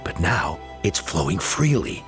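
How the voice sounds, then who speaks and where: A man playing a calm, scholarly advisor in a video game, explaining in a measured, earnest way. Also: sits low, music in the background, heavily acted.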